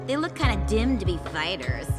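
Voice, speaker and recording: A woman's voice: mid-range voice, sing-song, a little fast, loud music behind the voice, close to an everyday voice. A woman playing a cocky, violent young female fighter in a video game cutscene, with a taunting, menacing playfulness. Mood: mocking.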